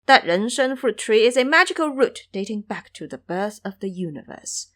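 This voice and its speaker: A woman playing an authoritative female bodhisattva, composed and formal, admonishing in a measured way. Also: on the high side, expressive, a bit bright. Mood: stern. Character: serene, authoritative female bodhisattva, sternly maternal.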